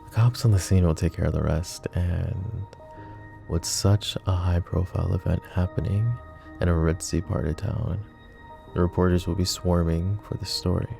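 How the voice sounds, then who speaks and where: A man playing a possessive, protective mafia boss in an intimate ASMR roleplay, speaking in a hushed, deliberate, tender way that often dips toward a whisper. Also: deep voice, fairly even intonation, vocal fry, faint music behind. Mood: calm, instructing. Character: possessive, protective male mafia boss, smooth menacing devotion.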